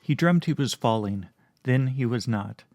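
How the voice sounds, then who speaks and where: A man reading a fantasy story aloud in a calm, measured audiobook narration. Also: medium pitch, no echo, soft background music.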